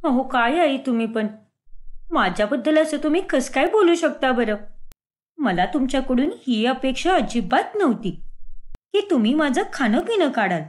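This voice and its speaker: A woman voicing a stubborn, complaining young daughter-in-law who acts aggrieved and dramatic in an animated moral story. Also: high-pitched, normal speed. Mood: hurt, indignant.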